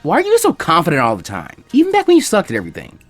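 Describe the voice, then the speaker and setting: A man's voice: a little high, wildly swooping pitch, very fast, soft background music, pitched above the performer's own voice. A man voicing a playful teenage girl ninja who teases and whines. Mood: teasing. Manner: playful, teasing, whiny.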